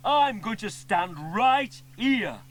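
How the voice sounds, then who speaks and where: A man's voice: sits high, big pitch swings, slow, fairly bright tone, pitched above the performer's own voice, exaggerated voice. A man voicing a clumsy, cheeky odd-job helper in a children's cartoon, comic and colloquial. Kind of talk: children's cartoon character acting.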